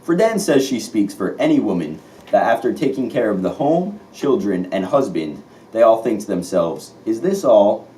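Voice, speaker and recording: A man's voice: fairly low pitch, sing-song, moderate pace, dark tone. A man playing an earnest 1950s news anchor in an amateur scripted mock newscast. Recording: distant mic, faint noise.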